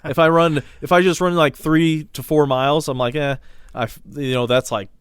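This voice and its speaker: A man's voice: fairly high pitch, steady tone, normal speed, fairly bright tone, smooth, no room sound, clean studio sound. A young man co-hosting a podcast. He chats casually, swears a lot, and trails off. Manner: laid-back, swears often, trails off. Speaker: podcast co-host. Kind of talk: casual chat.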